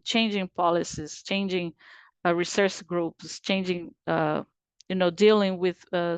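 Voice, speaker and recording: A woman's voice: fairly low pitch, clear, resonant tone, no echo. A Brazilian woman, whose first language is Portuguese, gives a technical conference presentation over a video call, explaining carefully with frequent "uh" fillers.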